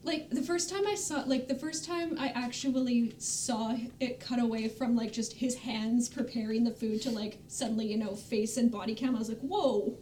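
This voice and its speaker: A woman with a fairly high but mellow voice chats casually in loose, filler-heavy sentences. The sound is roomy and echoey. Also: steady tone, moderate pace, few pauses. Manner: peppers speech with "like". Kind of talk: casual chat. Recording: roomy echo, faint rumbling noise.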